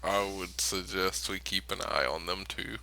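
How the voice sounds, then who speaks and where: Male role-player, lowish pitch, very bright forceful tone, plain-spoken delivery. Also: fairly even intonation, measured pace, gravelly, slight background noise. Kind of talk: improvised in-character role-play.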